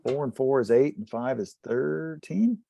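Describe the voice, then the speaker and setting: A man's voice: mid-range voice, expressive, a little slow, gravelly, warm tone. A man playing a tabletop role-playing game, chatting casually and describing what his characters do.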